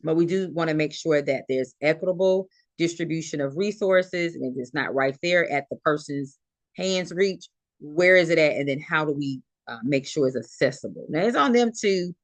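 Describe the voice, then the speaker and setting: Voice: mid-range voice, normal speed, dry recording. A nursing instructor giving a recorded classroom lecture in a conversational way, restating each point and using many fillers.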